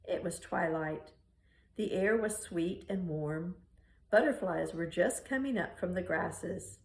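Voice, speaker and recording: A woman reading a children's picture book aloud in an expressive storytelling style. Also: on the low side, slow pace. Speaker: storybook reader. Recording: roomy, echoing room sound.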